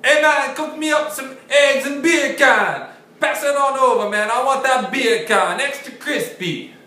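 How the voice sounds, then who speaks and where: A man putting on a loud, exaggerated mock Jamaican accent. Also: unhurried, far from the mic, full character voice. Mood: shouting. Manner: shouted, overblown, high and loud. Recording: very echoey room.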